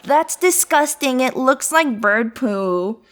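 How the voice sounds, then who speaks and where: A woman's voice: sits high, lively intonation, slow, a bit bright, pitched above the performer's own voice, heavily acted. A woman voicing a disgusted, mean little girl bully in a whiny, exaggerated way for a story. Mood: disgusted, mocking.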